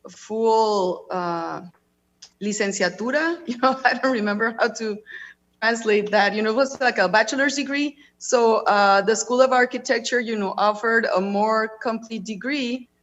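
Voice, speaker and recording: A woman's voice: medium pitch, unhurried, a bit bright. Mexican lady on a panel, says you know constantly, kinda dull audio. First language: Spanish. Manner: casual, peppered with "you know". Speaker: real estate leasing manager. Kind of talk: panel discussion.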